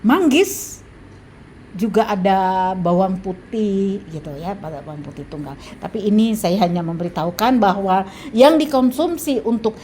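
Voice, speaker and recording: A woman in her seventies with an Indonesian accent, talking casually about home remedies, pausing often with fillers and restarts. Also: medium pitch, lively intonation, warm tone, slight background noise. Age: 70s. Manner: halting, with frequent fillers and restarts. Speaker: health vlogger. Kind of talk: casual advice talk.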